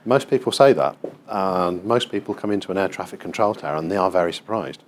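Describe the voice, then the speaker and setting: A middle-aged man with a low voice and a British accent explains things as a tour guide. He speaks in a measured, precise way, with clear room noise behind him in a working indoor space. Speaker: air traffic control manager and tour guide. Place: large airport control tower room, indoors. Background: control room ambience.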